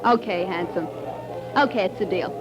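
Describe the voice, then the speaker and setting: An actress playing a brassy, wisecracking blonde diner waitress with an American accent in a 1940s radio drama, sassy and slangy. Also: female voice, lowish voice, moderate pace, music in the background, a crowd in the background, natural voice. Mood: sassy, interested. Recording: muffled 1940s radio recording.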